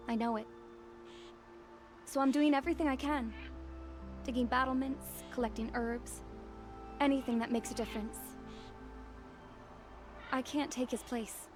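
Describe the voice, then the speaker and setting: A high-pitched actress as a devoted young woman in mourning, from a video game. She is solemn, earnest and determined, with faint music underneath. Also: fairly even intonation, moderate pace, pauses often, natural voice. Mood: grieving resolve. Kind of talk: video game dialogue acting.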